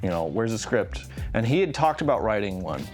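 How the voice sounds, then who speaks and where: A man's voice: fairly low pitch, sing-song, brisk, faint music behind. A middle-aged man, a video editor, chatting casually in an interview on a lapel mic. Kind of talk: casual behind-the-scenes interview.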